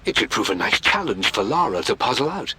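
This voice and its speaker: A man's voice: mid-range voice, sing-song, fairly quick. An actor playing a middle-aged British scholar, earnest and emotive, reading his journal as a game voice-over. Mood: pleased, fond. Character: obsessive scholarly British father, earnest and brooding.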